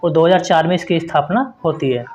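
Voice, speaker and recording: A man with a notably high-pitched voice teaches an exam-prep lesson. He reads out quiz questions and answers, then explains them in an instructive manner, repeating points for emphasis. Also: fast talker, darkish tone.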